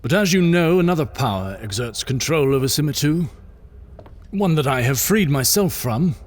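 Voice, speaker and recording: A man's voice: on the high side, lively intonation, moderate pace. An actor playing an earnest, benevolent king giving a solemn, oratorical address in an audio drama. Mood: solemn, resolute. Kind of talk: audio drama speech acting.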